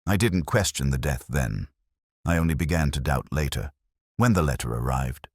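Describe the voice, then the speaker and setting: A man's voice: extremely low pitch, lively intonation, moderate pace, no room sound, clean studio sound. A man voicing a polite but evasive professional under suspicion, guarded and defensive, in an audiobook mystery. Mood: defensive, worried. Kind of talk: audiobook detective story dialogue.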